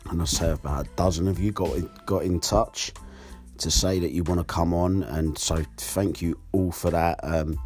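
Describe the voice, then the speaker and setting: A hoarse young man hosting his podcast alone, talking candidly and rambling with fillers. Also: sits low, steady tone, moderate pace, a little mellow, soft background music. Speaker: podcast host. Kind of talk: solo podcast monologue.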